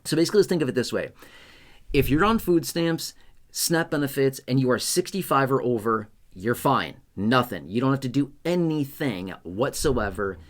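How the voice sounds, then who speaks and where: A man's voice: medium pitch, expressive, normal speed. A man hosting an explainer video, talking casually and reassuringly straight to his viewers. He repeats key points and checks in often with "okay?". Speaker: YouTube explainer host.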